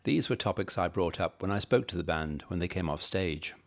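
Deep-voiced unhurried male radio presenter. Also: expressive. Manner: measured, unhurried delivery. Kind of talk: scripted radio link.

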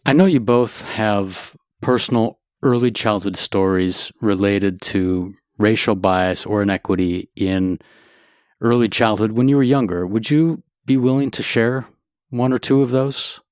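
A man's voice: fairly low pitch, steady tone, unhurried, darkish tone, smooth, no room sound, clean studio sound. A man hosting a podcast, asking his guests short questions. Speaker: podcast host. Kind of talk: interview questions.

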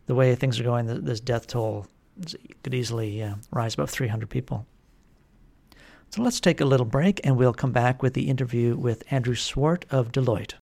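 A man hosting a mining news podcast, giving a measured, informative rundown with occasional fillers. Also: mid-range voice, creaky, a little mellow. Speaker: podcast host and editor.

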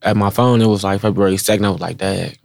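A quiet young man guesting on a podcast, terse and mumbling. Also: fairly low pitch, steady tone, quick pace, gravelly, no echo, clean studio sound.

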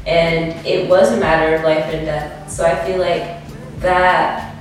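A woman's voice: low-pitched, flat delivery, measured pace, recorded from a distance. Woman in her twenties, roomy off-mic sound. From DMV area, US. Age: 20s. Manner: candid, talks with many likes and restarts. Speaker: podcast guest, model and DJ. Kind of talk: casual conversation.

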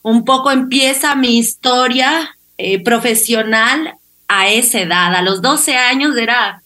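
A woman's voice: on the high side, a little slow, bright, forceful tone. A woman from Ecuador, telling personal stories conversationally as an interview guest. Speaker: interview guest, clinical psychologist. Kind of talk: conversational storytelling.

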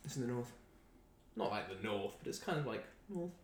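A man's voice: mid-range voice, very animated, fairly quick. A man from southern England chatting informally as a guest, a little hesitant and playfully contrary. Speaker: podcast guest. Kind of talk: casual chat. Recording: echoey room with background noise.

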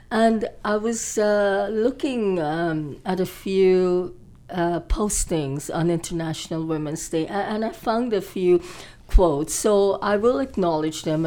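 Woman host, slow deliberate delivery, warm mellow tone, affirming interjections. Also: lowish voice. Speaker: talk show host. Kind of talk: hosting a panel discussion.

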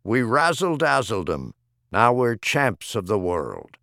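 Animated man reciting rhyming verse. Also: fairly low pitch, slow pace, gravelly, no room sound, studio quality. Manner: theatrical, rhythmic verse delivery. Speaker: audiobook reader. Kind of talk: scripted reading of humorous rhyming poems.

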